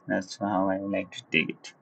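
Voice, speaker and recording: A young man with a Nepali accent talks through his coding live, in a fairly low, easygoing voice. He mutters to himself, chuckles, and fills pauses with hummed la-la tunes. He sits close to a desk mic. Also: monotone, vocal fry, a bit bright, husky. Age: young adult. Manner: thinks aloud, hums la-la while working; laid-back, chuckles, switches into Nepali. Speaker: livestreamer learning web coding. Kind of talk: casual live coding commentary.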